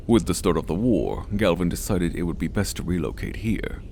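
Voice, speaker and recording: A stern senior scientist for an audio drama. His low voice has a gravelly edge and swings expressively as he hands down condescending, measured orders. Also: lively intonation, dark tone, slight background noise. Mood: composed, measured. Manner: measured, authoritative, clipped orders.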